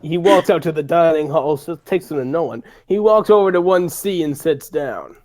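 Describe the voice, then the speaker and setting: A man narrating a playful dramatic script reading among friends, theatrical and quick to break off into asides. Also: a little high, darkish tone. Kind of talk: playful group script read-through.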